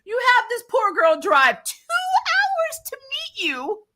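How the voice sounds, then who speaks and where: A woman hosting a recap show, giving casual, opinionated TV review chat in an animated, blunt, exasperated way with plenty of swearing. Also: very high voice, slow. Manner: animated, exasperated, blunt and profane, mock-scolding; laughs often while talking.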